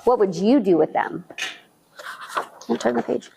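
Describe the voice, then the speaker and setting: A woman's voice: medium pitch, creaky, a little hiss or hum, rough recording. A woman reading a picture book aloud to young children at a library storytime, asking them questions as she goes. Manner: slow, animated, speaking to young children with questions.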